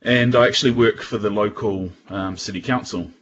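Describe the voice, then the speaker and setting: A man with a New Zealand accent giving an informal technical presentation in a casual, halting way. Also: fairly low pitch, a bit bright, dull audio, slight background noise. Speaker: guest presenter at a tech user group.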